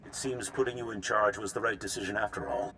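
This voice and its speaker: A man's voice: on the low side, quick pace, bright, forceful tone, slight background noise. A man playing a gracious, authoritative military leader in a video game. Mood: gracious, resolute. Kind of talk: video game character acting.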